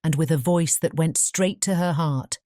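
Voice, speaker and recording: A woman's voice: low-pitched, normal speed, dark tone, no echo, studio quality. A woman narrating a fairy tale in a scripted bedtime audiobook style.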